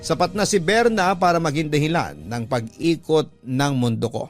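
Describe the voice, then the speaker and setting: A man's voice: fairly high pitch, animated, normal speed. A man narrating a sentimental radio love story as a devoted, protective younger sibling, earnest and reflective. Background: music under the voice.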